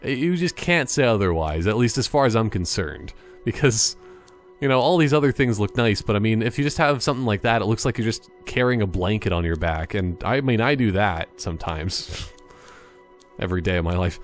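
A man's voice: fairly low pitch, expressive, brisk. A man giving casual, rambling gameplay commentary with playful, self-mocking asides, sometimes reading on-screen text aloud. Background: game music.

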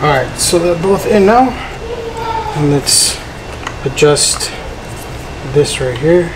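A young man giving an informal, slangy, hands-on tutorial as a family vlogger at home. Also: on the high side. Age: young adult. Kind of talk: casual hands-on product tutorial. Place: living room at home with tiled floor. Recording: clear background room noise.

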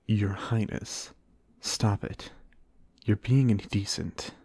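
A man playing a reserved, dry-witted American knight in a fantasy ASMR roleplay, speaking in measured, formal banter. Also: fairly low pitch, mellow, breathy edge, no echo, exaggerated voice. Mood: stern, reserved.

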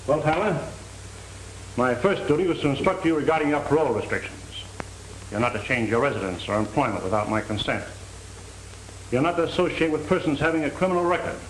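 A man's voice: a little high, expressive, a little fast, lightly acted. A middle-aged man playing a stern, by-the-book parole officer in 1930s film style, with a firm, clipped delivery. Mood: brisk, official. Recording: noisy 1930s film soundtrack.

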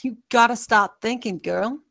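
A woman acts out a streetwise mother and crew boss in a drama read. Her voice is fairly high and closely recorded. She is blunt, stern and guarded. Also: unhurried, creaky, sharp tone, no echo, clean studio sound, exaggerated voice. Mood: scolding. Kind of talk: script table read.

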